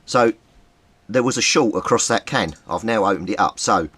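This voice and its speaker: Deep-voiced man muttering over a repair. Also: normal speed, a bit bright, slightly rough. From Britain. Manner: muttering, thinking aloud while tinkering. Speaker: radio repair hobbyist. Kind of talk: casual repair commentary.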